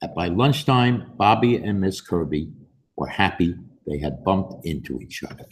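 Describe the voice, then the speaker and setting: A man reading a storybook aloud to children in an animated way, putting questions to his listeners in a gently instructive manner. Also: low voice, normal speed, a little hiss or hum, rough recording. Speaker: storybook reader and host.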